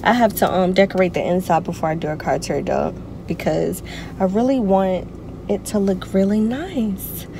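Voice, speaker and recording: A woman's voice: mid-range voice, normal speed, mellow. Chatty young woman vlogging from her car. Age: young adult. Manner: chatty, playful, talks straight to viewers. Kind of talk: casual car vlog chat. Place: inside a parked car, outdoors traffic around. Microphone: phone camera on the dashboard. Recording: car interior with clear road noise.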